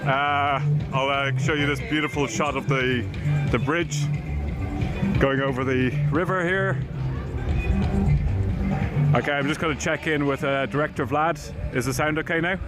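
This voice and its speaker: Man, street reporter, slightly high voice, hesitant chatty delivery, heavy music behind. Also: steady tone. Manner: chatty, halting, many fillers. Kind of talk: live outdoor reporting. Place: busy city street outdoors. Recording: loud crowd and music behind.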